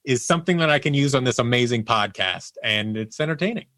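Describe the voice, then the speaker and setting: A man's voice: mid-range voice, animated, quick pace, a bit bright, dry recording. A man speaking as a podcast guest, telling personal anecdotes in a candid, casual way. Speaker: podcast guest, audio producer.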